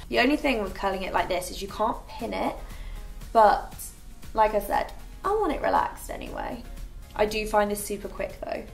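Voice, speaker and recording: Brief: young woman, beauty vlogger, chatty and rambling, light music under the voice. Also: mid-range voice. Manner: chatty, filler-heavy with frequent "like". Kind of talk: casual chatty get-ready-with-me vlog. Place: bright living room set.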